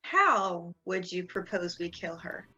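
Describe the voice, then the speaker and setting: A young woman playing a composed, calculating sorority leader in a dramatic reading, with formal, deliberate phrasing. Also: on the low side, sing-song, pushed and bright, husky, dull audio, faint music behind, exaggerated voice. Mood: measured, skeptical.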